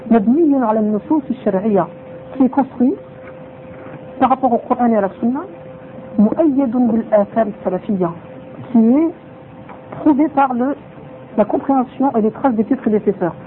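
Man teaching a religious lesson, quick delivery, repeats his points, tinny phone-like sound, faint hiss. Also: very high-pitched. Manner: mixes in Arabic phrases, repeats points for emphasis.

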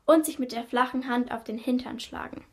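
An actress in a radio play reciting rules and weekdays as a chant of short phrases. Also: female voice, fairly high pitch, a little fast, natural voice.

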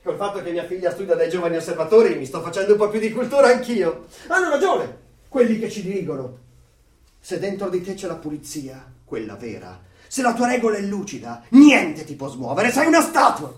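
Excitable high-voiced smug male neighbour. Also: a little fast, recorded from a distance. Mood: smug, chatty. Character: chatty, smug male neighbour, zealous yet wavering party loyalist. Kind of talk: theatrical radio drama acting. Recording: roomy echo, off the microphone.

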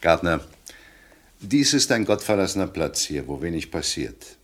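An actor playing a gruff, impatient police inspector with a dry, sarcastic streak in a radio crime play. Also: male voice, low voice, sing-song, normal speed, a bit bright, exaggerated voice. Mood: drily sarcastic. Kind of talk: German radio crime drama acting.

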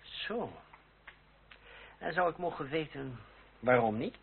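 An actor playing a young lawyer and duellist who is composed, ironic and defiant, in a period radio drama. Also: male voice, fairly high pitch, animated, takes it slowly, gravelly, husky, heavily acted. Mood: cool irony. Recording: tinny, band-limited old radio recording with hiss.

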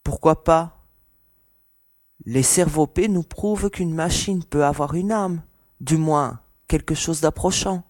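A woman's voice: sits low, slow pace, a little mellow, no room sound. An actress playing a skeptical young woman officer in a sci-fi audio drama, questioning and coolly teasing. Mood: curious. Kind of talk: French audio drama dialogue.

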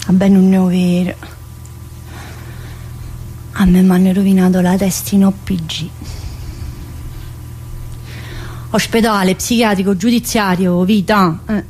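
A woman's voice: mid-range voice, little pitch movement, a little mellow, a little hiss or hum, lightly acted, low-quality recording. An actress playing a talkative, troubled woman locked in a psychiatric prison, in a radio theatre monologue, with a Neapolitan accent and a colloquial, confiding, rambling manner. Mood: bitter, confiding. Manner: colloquial, confiding, rambling, with abrupt pauses and interjections.

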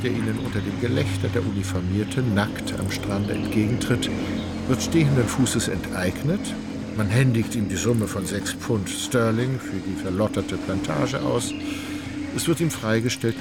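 A man narrating a literary radio play in a measured, precise, faintly ironic manner. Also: fairly low pitch, vocal fry, very noisy.

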